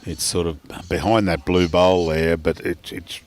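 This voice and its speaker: A man with an Australian accent doing relaxed, chatty live commentary on a bowls match, full of fillers and laughter. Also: low-pitched, a little slow, a little hiss or hum. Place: outdoors at a bowls green.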